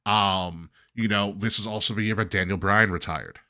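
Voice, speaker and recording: A man co-hosting a podcast, giving opinionated, animated commentary. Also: lowish voice, normal speed. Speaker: podcast co-host. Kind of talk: casual chat.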